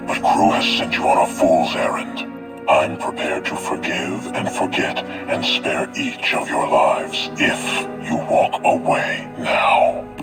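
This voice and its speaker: An actor playing a menacing crime lord in a video game, formal and measured, with a rough, breathy voice, heard as a broadcast message. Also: male voice, a bass voice, flat delivery. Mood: calm menace. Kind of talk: video game villain acting. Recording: distant broadcast message under loud music.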